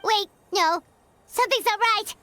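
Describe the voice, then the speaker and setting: A woman voicing a small, excitable childlike fairy companion in a video game, with a squeaky voice and exclamatory bursts. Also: unhurried, heavily acted. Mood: alarmed.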